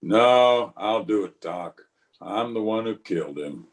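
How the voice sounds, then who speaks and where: A man playing a calm, plain-spoken frontier marshal in a radio western, measured and laconic. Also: fairly low pitch, over loud music. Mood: matter-of-fact. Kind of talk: old-time radio western acting.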